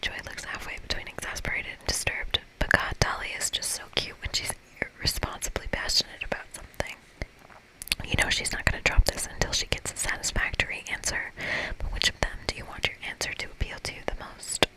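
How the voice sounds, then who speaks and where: The voice is a constant breathy whisper with no real voiced tone. It belongs to an ASMR creator reading through a game aloud, adding playful asides along the way. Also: a little fast, low-quality recording. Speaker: ASMR creator playing a visual novel.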